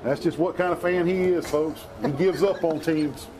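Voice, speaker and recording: Middle-aged man, hoarse, folksy football announcer, slightly high voice. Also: fairly even intonation, normal speed, crowd noise, poor audio. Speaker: play-by-play announcer. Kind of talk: local football commentary.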